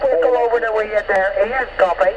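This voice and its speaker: A man's voice: sits high, quick pace. A man from England chatting over CB radio in a friendly way, addressing the other speaker by name again and again. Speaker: CB radio net participant. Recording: dull radio-transmitted audio with static.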